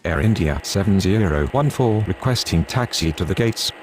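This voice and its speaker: Low-voiced actor as male airport radio operator. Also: expressive, moderate pace, darkish tone, a little hiss or hum. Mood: businesslike. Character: male pilot and controller on airport radio, businesslike. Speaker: air traffic radio voice in a mobile game. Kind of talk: clipped aviation radio phraseology.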